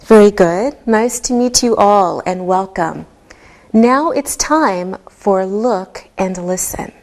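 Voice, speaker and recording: A woman leads a beginner English lesson at a very unhurried pace. Her voice sits at a middling pitch. She pronounces each word deliberately and patiently, pausing often so learners can follow. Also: animated, darkish tone. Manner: deliberate, clearly enunciated, patient. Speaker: English teacher. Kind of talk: slow, scripted ESL lesson delivery.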